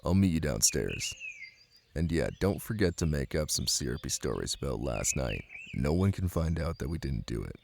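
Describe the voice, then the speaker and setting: A man's voice: big pitch swings, moderate pace, gravelly, a little mellow, slight background noise, heavily acted. A man playing a brooding, possessive young mafia heir and new husband in an intimate roleplay audio drama, blunt and commanding yet protective. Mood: brisk, commanding. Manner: blunt, commanding, swearing, low-key intimate delivery.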